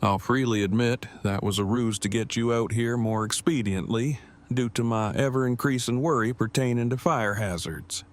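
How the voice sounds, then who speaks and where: A man's voice: medium pitch, steady tone, normal speed, lightly acted. A man playing a wordy, pedantic but devoted groom in an audio drama, speaking in stilted, formal phrases. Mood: fond, formal.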